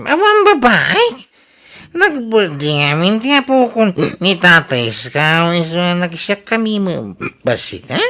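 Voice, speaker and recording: A woman's voice: lowish voice, very animated, a little slow, fairly bright tone. A woman with an Ilocano accent playing an exasperated, defensive working husband in a radio drama, full of protest.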